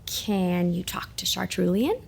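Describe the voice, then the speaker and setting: Woman acting a chirpy, breathy young companion. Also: lowish voice, animated, measured pace, exaggerated voice. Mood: conciliatory. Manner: teasing, inquisitive. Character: chirpy, curious, well-meaning young female companion. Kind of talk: audio drama acting.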